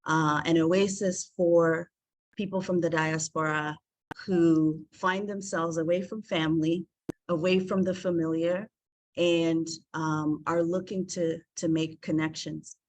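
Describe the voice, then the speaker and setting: A woman from South Africa talks through a presentation on a video call. Her voice sits on the lower side and the sound is dry and close. She is earnest and warm, pausing often on filler ums. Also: fairly even intonation, dry recording, low-quality recording. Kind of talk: presentation to an online group.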